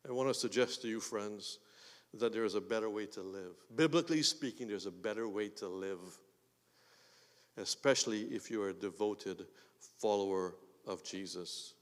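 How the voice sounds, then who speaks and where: A man with a Guyanese accent preaching a sermon as pastor, swinging between quiet asides and loud emphatic bursts. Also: lowish voice, moderate pace. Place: church sanctuary. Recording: long hall reverb.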